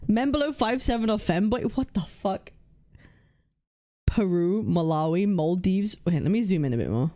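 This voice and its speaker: A young woman hosting a casual livestream, reading chat and lists aloud, blunt and swearing freely as she thinks out loud. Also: mid-range voice, expressive, normal speed. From United Kingdom. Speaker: livestream host.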